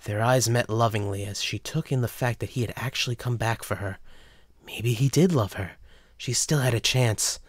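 A man's voice: medium pitch, moderate pace, no room sound, clean studio sound. A man narrating a scripted story for an audio drama.

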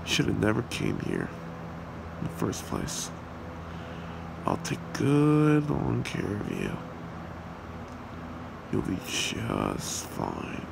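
A man's voice: lowish voice, very slow, pauses often, exaggerated voice. A man voicing a possessive, cruel demon husband with a menacing, taunting manner in an ASMR roleplay. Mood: sinister, taunting. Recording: clearly noisy home recording, steady hum.

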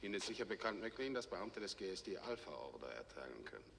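A stern middle-aged general of a space fleet in a 1960s science fiction series. His voice is forceful and medium-pitched, clipped and official, ringing in a long reverb on a noisy old soundtrack. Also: sing-song, fast talker, creaky, full character voice. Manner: clipped, formal, authoritative. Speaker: actor.

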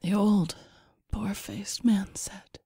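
A woman reading first-person fiction in a hushed, breathy, deliberate and dramatic way. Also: medium pitch, steady tone, no echo, studio quality. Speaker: story narrator.

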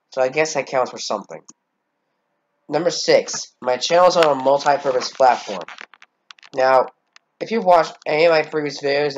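A man's voice: medium pitch, lo-fi sound. Congested teen boy rambling into a cheap mic. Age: teens. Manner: rambling, hesitant, casually profane. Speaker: channel owner. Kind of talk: casual channel update.

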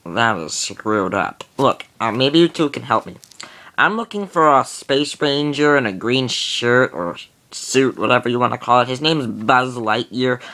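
A man's voice: medium pitch, bright, forceful tone. A man doing a brash bragging toy cowboy. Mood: pleading. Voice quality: cocky, swaggering, talks about himself in third person. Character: brash boastful male toy cowboy who calls himself the Wood. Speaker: channel host. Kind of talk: toy comedy skit.

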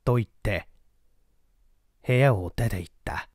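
A man narrating a literary mystery story from a script, with deliberate, dramatic storytelling delivery. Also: on the low side, a little mellow, slightly rough, dry recording, studio quality. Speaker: audiobook narrator, stage actor.

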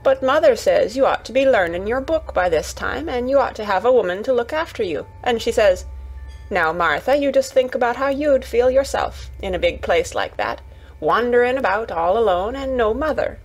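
A woman's voice: a little high, animated, normal speed, slightly rough, rough recording. A woman voicing a cheerful young Yorkshire housemaid in a storybook, chatty and eager, with homely dialect and exclamations. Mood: earnest, chatty.

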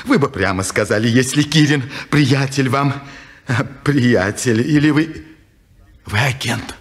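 An actor playing a pious, anxious old watchmaker in a 1950s radio drama, cornered and pleading. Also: male voice, medium pitch, takes it slowly, close to an everyday voice. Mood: shaken. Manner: wheedling, pleading, drawn out.

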